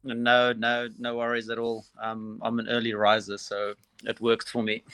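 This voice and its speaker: A South African man talks in a relaxed, halting way, filling his pauses with ums. His voice stays level with little rise and fall. The sound is dry and close, with no room around it. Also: mid-range voice, clear, resonant tone, no room sound, rough recording. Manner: relaxed, hesitant with frequent ums. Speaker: assistant cricket coach. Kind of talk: phone interview.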